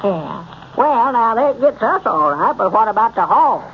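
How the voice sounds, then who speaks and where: A man's voice: high voice, sing-song, unhurried, exaggerated voice. A man playing a gullible, worrying old country storekeeper with a rural Southern US accent in 1930s radio comedy style. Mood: worried, doubtful. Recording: muffled, noisy 1930s radio recording.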